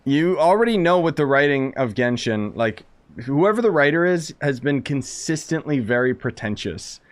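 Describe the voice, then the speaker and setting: A man's voice: a little high, lively intonation, moderate pace. A man giving casual live game commentary as a streamer, wry, sarcastic and rambling. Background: game music.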